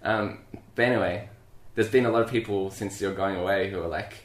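A man's voice: lowish voice, fairly even intonation, a little fast. A man co-hosting a podcast, chatting casually and cracking dry, wry jokes. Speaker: podcast co-host. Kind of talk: casual comedic chat. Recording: echoey room.

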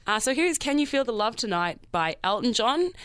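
A woman's voice: a little high, very animated, fairly quick, fairly bright tone, smooth, dry recording. A woman co-hosting a comedy radio show in a casual presenting style. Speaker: show co-host.

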